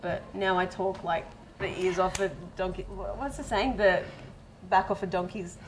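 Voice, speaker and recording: A woman's voice: medium pitch, fairly even intonation, moderate pace, a little hiss or hum, rough recording. A woman in her twenties, raised in Israel, chatting as a guest and laughing often. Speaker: guest, reality show runner-up. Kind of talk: casual interview chat.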